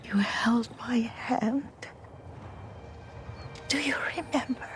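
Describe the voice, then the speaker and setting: An actress playing a frail, devoted elderly Japanese woman servant in a game cutscene, speaking softly and breathily, gently and haltingly. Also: a little high, faint music behind. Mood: tender, wistful. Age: older. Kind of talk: dramatic video game cutscene acting.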